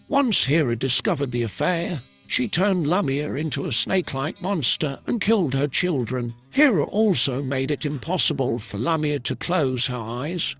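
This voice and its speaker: Higher-voiced, slightly husky man narrating. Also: a bit bright. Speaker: co-host and narrator. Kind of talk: scripted narration.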